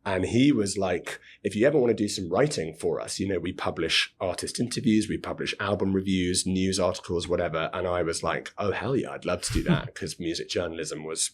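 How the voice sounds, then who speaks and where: A man in his thirties, a podcast guest, chatting in a relaxed, rambling way with a hoarse, scratchy voice. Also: low-pitched, brisk, few pauses. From United Kingdom. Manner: chatty, rambling, repeats words. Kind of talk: casual interview talk.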